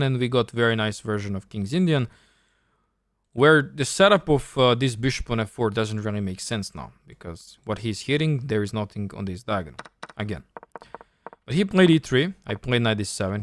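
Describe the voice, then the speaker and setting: A man's voice: medium pitch, no room sound, studio quality. A man from Serbia with a Serbian accent, thinking aloud and explaining step by step as he coaches on a live chess stream.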